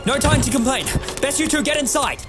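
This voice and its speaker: A male actor plays a heroic alien time traveller with a high-pitched voice. He is resolute and commanding, stressing words for drama, over loud music in an audio drama. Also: expressive, a little fast. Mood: defiant.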